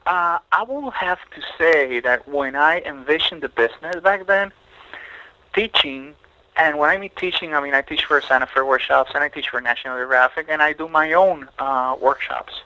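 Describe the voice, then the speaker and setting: A middle-aged man from Puerto Rico speaks with a crisp, bright tone. He is a photographer talking in a podcast interview. He works through his thoughts slowly, full of restarts and fillers. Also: a little high, rough recording. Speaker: photographer guest.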